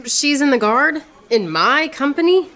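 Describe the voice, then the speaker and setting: A woman playing a proud, witty, sharp-tongued swordswoman poet in theatrical radio drama. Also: high-pitched, animated, measured pace. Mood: wry, guarded. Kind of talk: radio theatre acting in rhymed verse.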